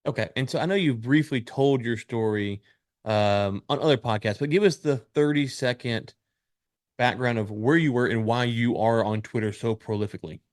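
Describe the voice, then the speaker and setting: A man's voice: medium pitch, moderate pace, no echo, studio quality. A man in his late thirties hosting a podcast interview, chatting casually and rambling with restarts. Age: 30s. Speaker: podcast host.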